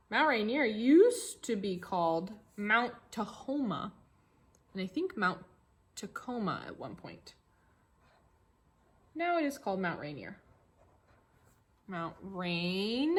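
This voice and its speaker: A woman's voice: mid-range voice, slow, pauses often, slightly rough. A woman with an American accent teaching young children, explaining simply with repetition and playful, exaggerated questions. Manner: animated, exaggerated surprise and rhetorical questions for children. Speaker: elementary school teacher. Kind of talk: read-along tracing lesson for young children.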